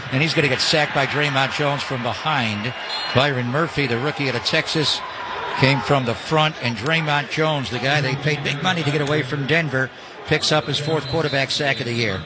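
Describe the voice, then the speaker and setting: An American man calling a football game live as a radio play-by-play announcer. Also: on the high side. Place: broadcast booth at a stadium. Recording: live broadcast over clear stadium noise.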